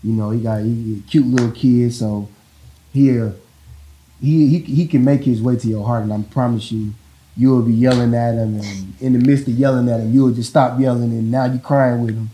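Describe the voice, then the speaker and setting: A man with a deep, mellow voice talks easygoing and loose, circling back to his point often. His delivery is calm and conversational, like a dad explaining how he raises his kids. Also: a little fast, low-quality recording. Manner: relaxed, rambling, repeats "you know what I'm saying". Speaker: father in a couple interview. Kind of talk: casual talk about parenting.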